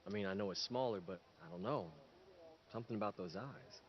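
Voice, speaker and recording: An actor playing a reluctant, easily embarrassed team player in a screen drama, speaking in an understated, hesitant, dry way. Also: male voice, lowish voice, fairly quick, vocal fry, lo-fi sound, slight background noise. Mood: guarded, flirting. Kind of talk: naturalistic screen acting.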